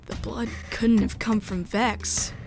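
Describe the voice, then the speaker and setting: A woman's voice: medium pitch, fairly even intonation, takes it slowly, music in the background. A woman playing a sensitive, resolute outsider who narrates a space drama in the first person. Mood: uneasy.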